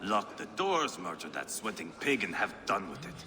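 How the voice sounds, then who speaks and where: A man's voice: low-pitched, very animated, moderate pace, bright, forceful tone, full character voice. A man playing a bloodthirsty, mocking Viking warlord in a video game, with menace and swagger. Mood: menacing, bitter. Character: bloodthirsty, volatile, taunting Viking warlord, male. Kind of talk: video game cutscene acting. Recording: echoing hall with background noise.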